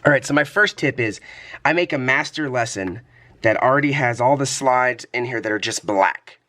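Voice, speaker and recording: A man speaks casually as a vlogging teacher, in a medium-pitched voice that is a little hoarse and congested. His delivery is easygoing and explanatory, like someone passing on practical tips. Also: lively intonation, moderate pace, pushed and bright.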